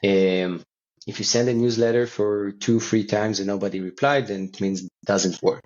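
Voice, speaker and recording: Halting man, dull lo-fi sound. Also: fairly low pitch, fairly even intonation, darkish tone. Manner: halting, repeats words and phrases. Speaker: company co-founder and CEO, interview guest. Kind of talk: conversational interview answers. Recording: dull, lo-fi call audio.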